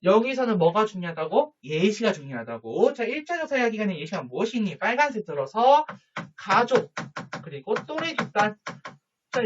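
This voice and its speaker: A man teaching a high school social studies lesson, chatty, with jokey asides and quick questions to his students. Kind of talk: online lecture to students. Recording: dull lo-fi recording.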